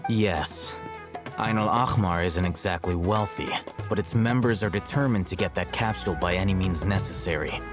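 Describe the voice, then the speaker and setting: An actor playing a calm young male scholar in a video game, speaking in a measured, formal, detached way. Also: low voice, close to an everyday voice. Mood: measured, explanatory. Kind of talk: video game story dialogue. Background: game background music.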